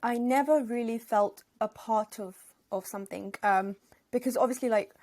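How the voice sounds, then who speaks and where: A woman's voice: on the high side, moderate pace, a little mellow. A young woman, a podcast guest talking openly and reflectively about her life. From Afghanistan, raised in Northwest London. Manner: reflective, open, with frequent fillers and restarts. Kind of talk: casual interview chat.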